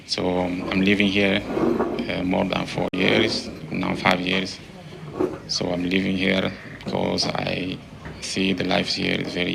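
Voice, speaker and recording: Very gravelly, low-voiced Bissau-Guinean man. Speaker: imam, interviewee. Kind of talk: personal testimony. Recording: clearly noisy room ambience.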